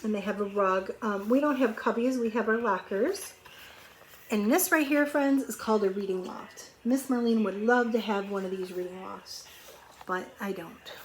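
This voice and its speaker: A woman's voice: medium pitch, normal speed, a little hiss or hum, rough recording. A woman teacher reading a storybook aloud to young children, expressively, with conversational asides and questions.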